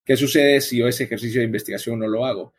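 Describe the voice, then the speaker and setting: Casting: male interview guest, husky mid-range voice, explanatory and conversational delivery. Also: mid-range voice, fairly even intonation, fast. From El Salvador. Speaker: interview guest, coffee event organizer.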